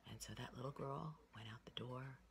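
A woman in her sixties telling a children's story in a dramatic, folksy way with emphatic pauses. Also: very deep voice, slight background noise. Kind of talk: animated oral storytelling for children. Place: indoor room with wooden ceiling. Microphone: phone at arm's length.

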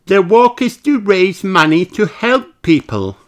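A man voicing an excited, eager little girl piglet in a children's storybook. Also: sits high, unhurried, voice pushed up. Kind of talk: children's storybook read-aloud.

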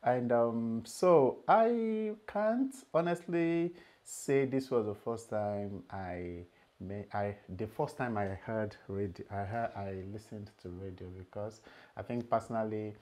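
A man's voice: fairly low pitch, takes it slowly, darkish tone. A middle-aged man moderating a webinar from a room at home over a webcam, asking strings of questions with many hesitant fillers. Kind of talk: webinar hosting. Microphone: webcam video call.

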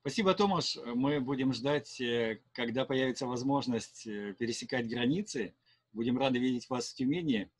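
Middle-aged male interviewer, video call, raspy timbre, dead-dry sound, measured. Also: medium pitch, no echo.

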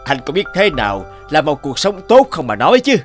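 A man voicing a fat, wealthy, scheming cartoon landlord in a pompous, taunting, drawn-out way. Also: on the high side, wildly swooping pitch, faint music behind. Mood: scornful. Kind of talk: cartoon dialogue acting.

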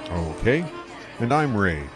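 A man's voice: fairly low pitch, sing-song, takes it slowly, over music. An actor playing an easygoing young male starship pilot who talks in a casual, laid-back way in an audio drama.

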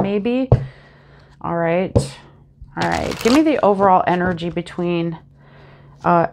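A woman's voice: fairly low pitch, slight background noise. Congested woman chatting through a tarot reading. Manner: chatty and animated, talks with her hands. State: congested, a little sniffly. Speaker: tarot reader. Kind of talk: casual tarot reading to camera. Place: small home room, indoors. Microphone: lapel mic.

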